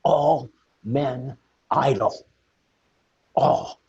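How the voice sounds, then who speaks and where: A very husky, gravelly old courtier, acted by a man, musing on his ideal world in Shakespearean verse. He declaims slowly in short, halting phrases. The sound is dull, as on a video call. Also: medium pitch, darkish tone, exaggerated voice. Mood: grand, rapturous. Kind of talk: staged Shakespeare monologue.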